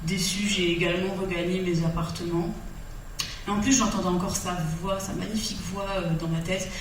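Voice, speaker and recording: A woman's voice: fairly low pitch, fairly even intonation, brisk, distant mic, a little hiss or hum. A woman telling a comic love story on stage, theatrical and breaking into song. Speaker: stage performer. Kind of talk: comic storytelling monologue with songs. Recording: echoey hall recorded from afar.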